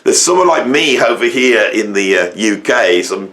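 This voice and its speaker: A middle-aged man from England with a croaky voice, giving an opinionated monologue to camera, rambling and emphatic with many fillers and restarts. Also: lowish voice, lively intonation, moderate pace, fairly bright tone. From England, near Birmingham. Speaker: musician and educator, channel host.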